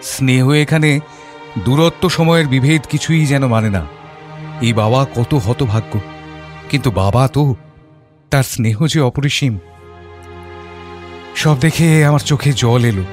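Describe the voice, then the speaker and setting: An actor playing a gentle, compassionate Bengali father who narrates his own story in a reflective, heartfelt way. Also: medium pitch, a little slow, pauses often, faint music behind, lightly acted. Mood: reflective, moved. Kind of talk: first-person audio story narration.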